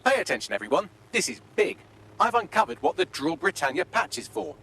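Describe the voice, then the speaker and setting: A man's voice: high voice, sing-song, a little fast, creaky, background noise, heavily acted. A man with a British accent playing a dry, witty artificial intelligence assistant in a video game, precise and formal. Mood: wry urgency.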